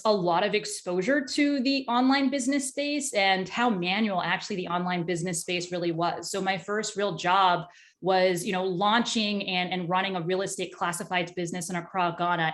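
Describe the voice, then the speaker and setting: A woman interview guest talking conversationally, in a candid, excitable and talkative way. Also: medium pitch. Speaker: tech startup executive, interview guest.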